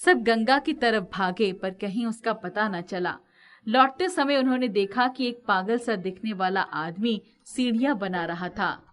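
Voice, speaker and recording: A woman's voice: medium pitch, unhurried, a bit bright. A woman narrating a scripted moral tale as the storyteller.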